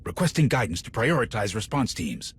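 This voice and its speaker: An actor playing an urgent, gruff male military field commander with a gravelly voice in a video game. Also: fairly low pitch, very animated, brisk, a bit bright, heavily acted. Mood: urgent report. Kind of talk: cinematic video game acting.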